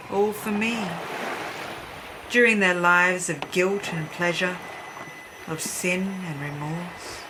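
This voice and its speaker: A woman's voice: on the low side, noisy background. An actress playing a vengeful, taunting ghostly woman who collects lost souls, speaking theatrically in an audio drama. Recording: loud sea ambience behind voice.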